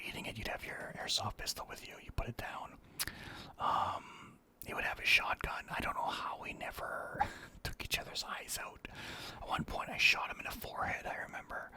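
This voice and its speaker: A man telling rambling personal anecdotes in a soft ASMR whisper. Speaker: storyteller.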